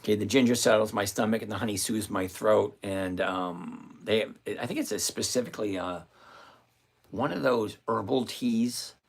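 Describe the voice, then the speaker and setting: A man hosting a live stream, talking through his options trades out loud and answering viewers by name in a chatty way from a home desk full of screens. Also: on the low side, normal speed, creaky. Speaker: livestream host and options trader. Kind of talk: live-stream trading commentary, reading chat aloud.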